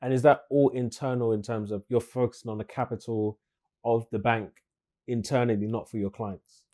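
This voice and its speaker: A man's voice: mid-range voice, fairly even intonation, normal speed, a little mellow. A man hosting an interview, chatty and enthusiastic with loose phrasing. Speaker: host.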